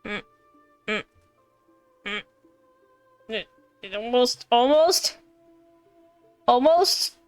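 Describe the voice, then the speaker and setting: High-voiced woman streaming a game. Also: animated, extremely slow, pauses often, clean tone. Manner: rambling, repeats phrases playfully. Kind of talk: casual livestream game commentary. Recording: game music behind. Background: game music.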